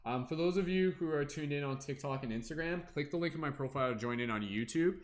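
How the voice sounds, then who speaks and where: A man's voice: on the high side, fast talker. A young man hosting a casual tarot livestream, rambling with many fillers and humming to himself between comments.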